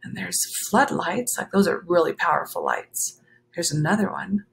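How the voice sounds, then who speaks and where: A woman reading a children's picture book aloud expressively, with dramatic drawn-out phrasing and chatty asides. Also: fairly low pitch, huge pitch swings, vocal fry, rough recording. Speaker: children's story reader.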